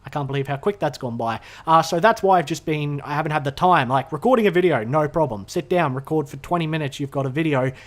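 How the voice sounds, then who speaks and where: A man vlogging from his room, rambling casually to the camera with lots of fillers and self-corrections, his voice a little hoarse from being sick. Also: a little high, fast talker, few pauses. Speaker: gaming YouTuber. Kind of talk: unscripted casual vlog ramble. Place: his own room, indoors. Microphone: talking to a camera.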